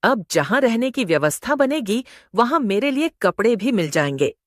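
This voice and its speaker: A woman's voice: fairly high pitch, very animated, normal speed, no echo, studio quality. A woman voicing a kind, selfless mother elephant in a children's moral-story cartoon, speaking earnestly. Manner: earnest, explanatory. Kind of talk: Hindi animated moral story dialogue.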